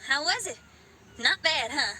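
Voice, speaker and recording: High-voiced, spirited young rocker. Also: female voice, animated, unhurried, background noise. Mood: satisfied. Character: spirited young female rock musician, passionate and confident. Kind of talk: English video game voice acting.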